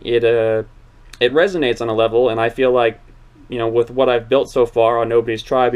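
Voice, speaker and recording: A man's voice: medium pitch, smooth. A man in his twenties hosting a casual interview chat, rambling and earnest with many fillers and restarts. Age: 20s. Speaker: channel host.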